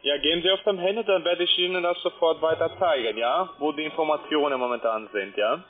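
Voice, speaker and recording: Casting: telesales man, non-native German, lightly raised pitch, halting with tag questions, muffled telephone line. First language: not German. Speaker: telephone trading salesman. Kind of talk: unscripted sales phone call. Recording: narrow muffled phone line.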